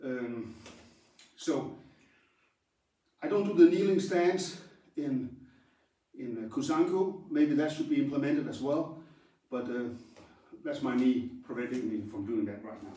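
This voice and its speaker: A man's voice: mid-range voice, warm tone. Man in an echoing dojo. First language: Danish. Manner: halting and plain, with pauses as he moves between stances. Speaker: karate instructor. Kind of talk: talking through a stance demonstration. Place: his own karate dojo, large room, indoors. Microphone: camera some distance away while he moves. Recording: very echoey hall, voice far from the mic.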